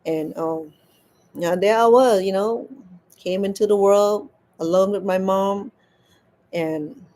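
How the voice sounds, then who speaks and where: Woman telling a story haltingly. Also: medium pitch, warm tone. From Cambodia. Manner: halting, repeats words as she searches for them. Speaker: crochet vlogger. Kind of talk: casual storytelling while crafting.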